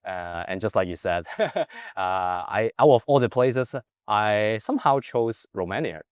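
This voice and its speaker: Low guy, earnest life coach vibe, keeps saying right?, dead dry sound. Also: expressive, unhurried, clear, resonant tone, dry recording. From Hong Kong. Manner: earnest, keeps adding "right?" tags to check in with the listener. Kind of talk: reflective personal storytelling.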